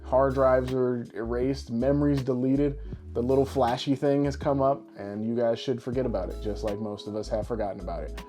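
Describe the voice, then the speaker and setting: A man's voice: mid-range voice, steady tone, brisk, mellow, faint music behind. A man co-hosting a podcast with casual, jokey, rambling showman patter. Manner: boisterous, rambling, tongue-in-cheek showman patter. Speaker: podcast co-host.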